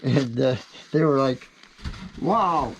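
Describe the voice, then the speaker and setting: A man chatting casually and telling anecdotes in an animated way, like a hobbyist showing off a collection. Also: mid-range voice, takes it slowly, a little hiss or hum, rough recording. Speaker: CB radio collector. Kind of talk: casual storytelling and chat.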